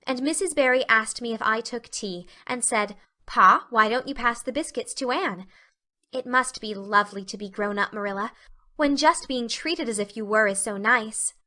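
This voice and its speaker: A woman acts out an excitable young orphan girl in a dramatic audiobook. The voice is fairly high and bright. She is talkative and dreamy, delivering grand phrases with earnest, effusive feeling. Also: normal speed. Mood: blissful, earnest.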